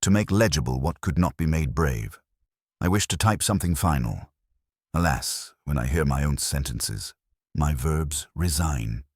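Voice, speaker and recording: A man plays a gently evasive village vicar in a mystery story. The voice is extremely deep yet moves about in pitch. He is wordy and mild, forever apologising for himself, and recorded dry and close. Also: lively intonation, a little slow, breathy edge, dry recording, full character voice. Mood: apologetic. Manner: wordy, mild, self-deprecating.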